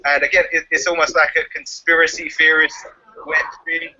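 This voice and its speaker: Cheerful man with a bright voice. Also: fairly high pitch, little pitch movement, moderate pace, highs cut off. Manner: cheerful, laughing. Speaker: guest. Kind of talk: casual chat.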